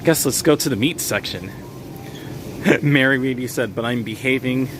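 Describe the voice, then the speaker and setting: A man talks casually to his livestream viewers as he walks the aisles of a grocery store. His voice sits at an ordinary middle pitch, relaxed and chatty, with plenty of fillers. Clear store background noise runs under every line. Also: moderate pace. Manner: chatty, reads chat names aloud, frequent fillers and small laughs. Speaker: livestream host. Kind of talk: casual live-stream chat while shopping.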